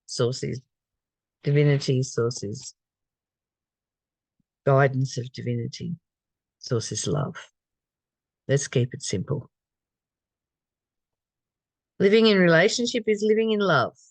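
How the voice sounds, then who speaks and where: A spiritual study reader with a medium-pitched voice, recorded dry with very little room sound. The delivery moves between reading aloud and informal reflection, often repeating a phrase or putting a question to the listener. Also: expressive, slow, gravelly, pauses often, no room sound.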